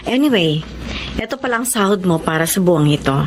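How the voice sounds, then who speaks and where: A woman's voice: fairly low pitch, lively intonation, fairly quick, lightly acted. Actress as rich motherly lady boss, composed, taglish, radio drama, slight noise behind. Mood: approving, generous. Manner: composed, mixes English into Tagalog. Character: generous, well-off middle-aged lady employer, motherly. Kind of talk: Filipino radio drama acting.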